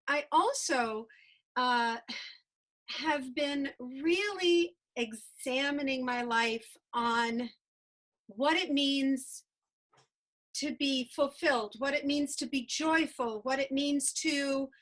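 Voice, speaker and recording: A woman hosting a casual interview, talking in a warm, meandering way with many pauses and fillers. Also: high-pitched. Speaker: host.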